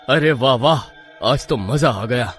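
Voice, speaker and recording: A man's voice: mid-range voice, takes it slowly, faint music behind, pitched below the performer's own voice, lightly acted. A man playing a gloating, scheming village landlord in a horror story. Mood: gloating glee. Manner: gleeful, exclaiming. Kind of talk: horror story character acting.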